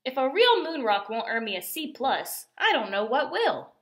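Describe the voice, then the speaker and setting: A woman's voice: high-pitched, very animated, normal speed, reverberant, pitched above the performer's own voice. A woman voicing a bossy, brash, enthusiastic young girl coach in a storybook, exclaiming and giving commands. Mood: bossy, excited.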